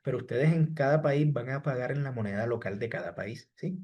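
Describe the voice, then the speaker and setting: A man's voice: mid-range voice, brisk, a little mellow. A man teaching a live online class, answering students from the chat by name and ending nearly every point with "¿sí?" and "estimados". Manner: repeats "¿sí?" and "estimados" after each point. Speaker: online course instructor.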